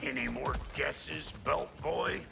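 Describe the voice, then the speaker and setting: An actor playing a cocky, wisecracking dwarf blacksmith in a video game, with a gravelly folksy delivery. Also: male voice, medium pitch, slow, far from the mic. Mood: teasing, instructive. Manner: folksy, brash. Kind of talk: video game character acting. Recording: loud game music and machinery behind.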